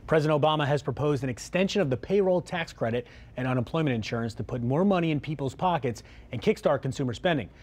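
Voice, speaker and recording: A man's voice: a little high, expressive, fast, few pauses, a little mellow, a little hiss or hum. A man with an American accent reading scripted news as an anchor.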